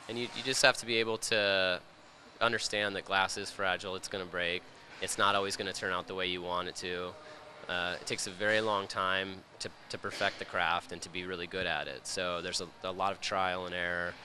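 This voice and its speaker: A man in his thirties explaining his craft in an interview, matter-of-fact with pauses between phrases. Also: low voice, bright, forceful tone, a little hiss or hum. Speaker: glass artist and instructor. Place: large indoor glass studio. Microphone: handheld interview microphone.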